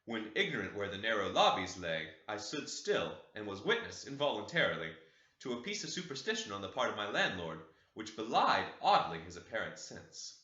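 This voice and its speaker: Brief: male audiobook narrator, bright, forceful delivery, dull lo-fi recording. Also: on the low side, reverberant. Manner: expressive, dramatic reading. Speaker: audiobook reader. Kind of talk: audiobook narration of a classic novel.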